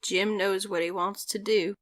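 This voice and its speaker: A woman's voice: medium pitch, steady tone, moderate pace, natural voice. A woman voicing a protesting, morally firm survivor in a comic dub. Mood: pleading, firm.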